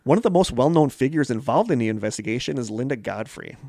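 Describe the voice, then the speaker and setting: Male American podcast co-host, mid-pitched, conversational, reads notes between casual asides, dry recording. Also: animated, fast, vocal fry, dark tone, no echo. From Wisconsin, United States. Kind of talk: casual chat mixed with reading prepared notes.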